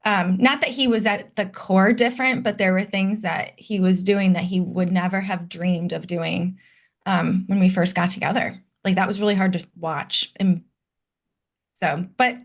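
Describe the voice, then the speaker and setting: A woman's voice: medium pitch, fairly even intonation, normal speed. A woman in her late thirties from Detroit, a podcast guest who candidly reflects on her past in a conversational way. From Detroit, United States. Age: 30s. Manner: candid and reflective, personal storytelling. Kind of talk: conversational interview.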